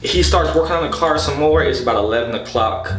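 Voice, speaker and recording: A man's voice: fairly high pitch, quick pace. A young man telling a personal story casually, in an exasperated, slangy, profane way. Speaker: storytelling vlogger. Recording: echoey room, loud background music. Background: background music.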